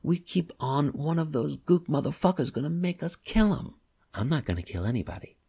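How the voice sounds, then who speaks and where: A man voicing a heated young crook, talking fast and swearing. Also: on the high side, very animated, creaky, mellow, husky, pitched above the performer's own voice, exaggerated voice. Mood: scornful. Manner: fast, heated ranting, profane. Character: agitated young male English crook.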